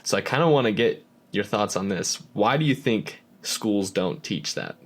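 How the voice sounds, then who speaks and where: A man's voice: fairly low pitch, lively intonation, moderate pace, husky. A man hosting a podcast, asking questions in a friendly, curious way with casual fillers like "kinda" and "right?". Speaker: podcast host. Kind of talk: casual interview chat.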